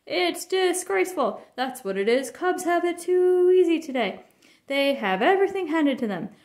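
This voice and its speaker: A woman voicing a loud, grumpy, easily outraged father bear in a children's storybook. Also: very high-pitched, very animated, moderate pace, voice pushed up, exaggerated voice. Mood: blustering outrage. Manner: blustering, exclamatory.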